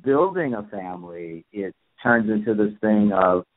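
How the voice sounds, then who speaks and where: Low-voiced man co-hosting radio talk. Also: lively intonation, a little slow. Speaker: radio show co-host. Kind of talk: casual call-in radio talk. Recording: narrow phone-like sound.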